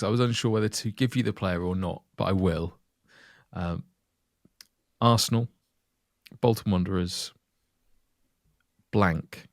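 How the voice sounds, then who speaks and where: A man hosting a football quiz on a casual podcast, reading out clues in steady lists. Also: fairly low pitch, creaky, dry recording, studio quality. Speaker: quiz host.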